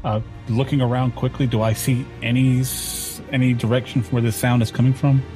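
A man's voice: mid-range voice, level tone, a little fast. A man playing a tabletop game, casually describing what his character does. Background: music.

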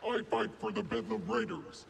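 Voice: mid-range voice, steady tone, moderate pace, vocal fry, background noise. An actor voicing a rigid, obedient battle droid in a video game, clipped and emotionless, through a robot filter. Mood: stern, robotic. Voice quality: electronic, grating.

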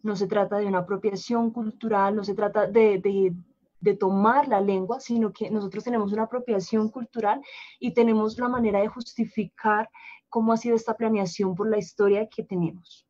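A woman explaining language planning on a live panel, measured and explanatory, sometimes repeating words. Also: mid-range voice, fairly even intonation, darkish tone. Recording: dull lo-fi livestream audio.

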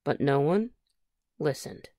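A woman reads a picture book aloud for children. Her voice sits a little low but moves around in lively, expressive swoops. The sound is dry, with little room in it. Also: slow pace, dry recording. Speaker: storybook reader.

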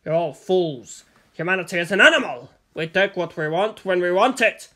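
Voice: mid-range voice, lively intonation, normal speed, pushed and bright. A narrator voicing a cruel, leering male kidnapper who talks in a taunting, menacing way. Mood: contemptuous.

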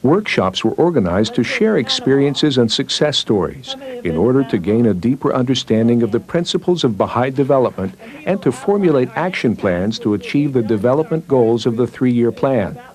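A male narrator reads a scripted newsreel report. His pitch sits in the middle range for a man. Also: big pitch swings, normal speed, a little mellow.

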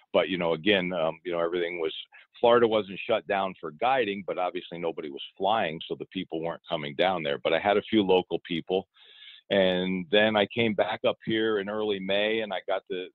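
A man's voice: low-pitched, no room sound. A middle-aged man, a fishing guide, answering interview questions in an easygoing way, often repeating words and restarting his sentences. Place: outdoors in a boat on the water. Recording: tinny phone-like line.

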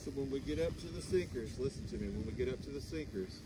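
A man's voice: mid-range voice, quick pace. A man working as a fishing guide, patiently coaching a client through landing a fish on a boat. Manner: calm, patient instructions, folksy phrasing. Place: open boat on a windy lake. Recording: loud wind and boat noise.

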